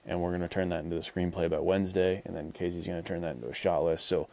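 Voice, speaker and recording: A man's voice: deep voice, steady tone, fast, vocal fry, a little mellow. A man hosting a podcast in casual chat, talking in a rambling, encouraging way peppered with "like". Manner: rambling, encouraging, heavy use of "like". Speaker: podcast host.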